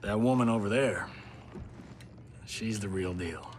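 An actor playing a wry, easygoing middle-aged action hero, chatting casually in a video game. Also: male voice, on the low side, measured pace, close to an everyday voice. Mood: wry, fond.

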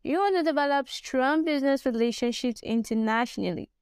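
A Nigerian woman with a Nigerian accent, a business English coach talking alone on her podcast, spelling out words slowly. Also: sits high, a little mellow, clean tone, dry recording, studio quality. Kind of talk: solo podcast monologue.

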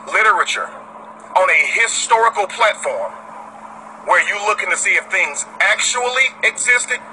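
A man's voice: high voice, sharp tone, slight background noise, rough recording. A man in his thirties delivering an insistent, didactic monologue in short emphatic phrases, on a phone video made in a car. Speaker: self-described teacher, former pastor. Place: inside a car.

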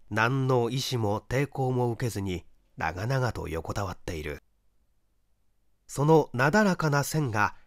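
Expressive male mystery narrator, widely varied pitch. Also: no echo, clean studio sound. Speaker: story reader, stage actor. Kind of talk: dramatic audiobook narration of a mystery.

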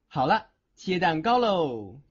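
A man's voice: fairly high pitch, heavily acted. A young man acting a playful but protective young man in a Chinese audio drama. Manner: playful, teasing. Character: teasing, protective young man with secret tenderness. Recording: dull lo-fi audio with music.

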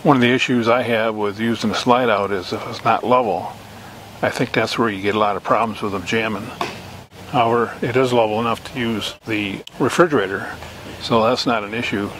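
A man from Michigan casually narrating a camping trip outdoors, relaxed and rambling with lots of uhs, recorded on a handheld camera. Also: lowish voice, brisk, a little hiss or hum, rough recording. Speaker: RV camping vlogger. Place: outdoors at a lakeside campground.

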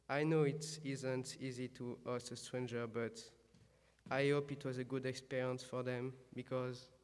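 A young man with a French accent reads an earnest speech at a podium microphone. His tone is smooth and very level, without much rise and fall. The sound carries a long reverb from the gym. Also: mid-range voice. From France. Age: young adult. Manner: earnest, reading from notes. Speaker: exchange student giving a graduation speech. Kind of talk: prepared ceremony speech. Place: large school gym.

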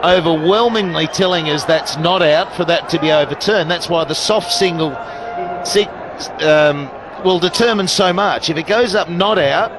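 A man giving live cricket commentary, bursting into shouts at big moments and explaining the rules between them. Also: on the high side, moderate pace, background noise. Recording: broadcast commentary over loud crowd.

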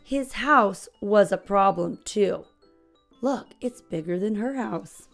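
A woman's voice: medium pitch, slow pace, soft background music. An American woman in her thirties hosting a children's read-aloud, telling a storybook expressively to young kids. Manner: expressive, clearly paced storytelling for small children.

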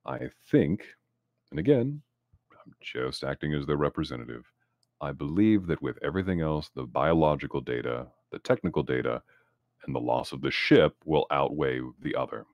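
A man roleplaying a calculating corporate representative, talking in wordy, formal, deliberate phrases as he improvises. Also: a bass voice, expressive, no room sound, highs cut off. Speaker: tabletop game player. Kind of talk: in-character improvised roleplay dialogue.

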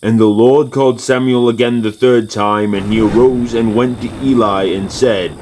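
A man's voice: medium pitch, fairly even intonation, normal speed, a little mellow, slight background noise, poor audio. A man narrating scripture from a script in an audio drama.

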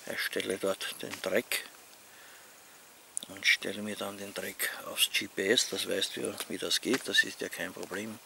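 Austrian male vlogger, fifties, low creaky voice with a bright edge, casual talk outdoors over faint ambient noise. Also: little pitch movement, measured pace. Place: outdoors among leafy trees.

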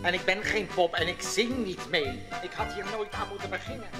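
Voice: medium pitch, brisk, over loud music, natural voice. An actor playing a stiff, stuffy village mayor, formal and grumbling, in a children's audio play. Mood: stiff, grudging.

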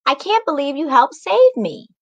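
A woman's voice: high-pitched, sing-song, moderate pace, voice pushed up. A woman voicing a thankful, exclaiming old lady in a children's story. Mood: grateful. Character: grateful old lady rescued from a robber.

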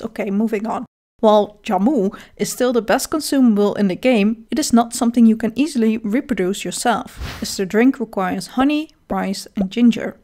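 A woman's voice: mid-range voice, darkish tone. A woman with a Dutch accent, a native Dutch speaker, narrating a scripted game guide with dry, self-mocking humor.

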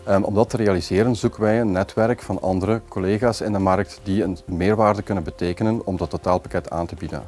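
A man in his forties with a Flemish accent, explaining his metalworking firm in a measured, businesslike corporate interview, recorded on a lapel mic in an office. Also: low voice, fairly even intonation, darkish tone, faint music behind. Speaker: company executive. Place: office with glass wall.

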